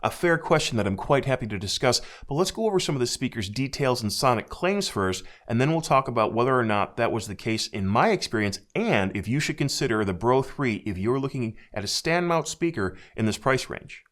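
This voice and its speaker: An American man narrating a scripted hi-fi speaker review in a measured, dryly self-deprecating way. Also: medium pitch, sing-song, a bit bright. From United States. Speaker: audio reviewer.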